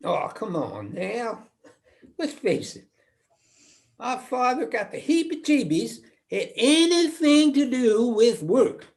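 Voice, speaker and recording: A woman's voice: a little high, big pitch swings, slow pace, darkish tone, full character voice. A woman playing a blunt, teasing hill-country sibling in a stage play, with an Appalachian accent. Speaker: actor in a one-act play. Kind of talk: stage play dialogue, reminiscing.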